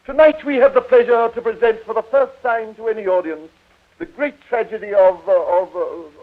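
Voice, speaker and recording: A man's voice: high-pitched, brisk, natural voice. An actor playing a fond, flustered, grandiose middle-aged father in a 1940s radio drama, with a theatrical, declamatory manner. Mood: grand announcing. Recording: tinny, narrow-band 1940 radio recording.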